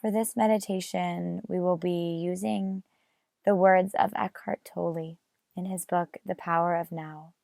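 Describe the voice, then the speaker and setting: Female meditation guide, very unhurried with long pauses, plenty of vocal fry. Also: on the low side, a little mellow, no echo, studio quality. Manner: measured, with long pauses between phrases.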